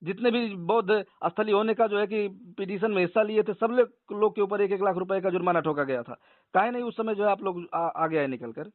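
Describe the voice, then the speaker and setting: A man speaks in a high voice over a narrow, tinny phone line. He debates in an argumentative, insistent way and repeats his points. The sound is dry, like a recorded call. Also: brisk, no room sound. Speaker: organisation leader debating a caller.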